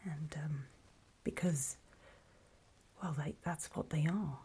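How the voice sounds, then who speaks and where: A woman playing a weary, wary zombie apocalypse survivor in a halting, breathy whispered ASMR horror roleplay. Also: sits low, dark tone, slight background noise. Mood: grim, hushed. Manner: halting, hesitant, slow and trailing off.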